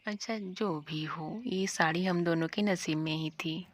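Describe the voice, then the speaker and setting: Woman acting slow-talking crafty village sister. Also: fairly low pitch, fairly even intonation, slight background noise, close to an everyday voice. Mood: smug. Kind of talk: animated moral story acting.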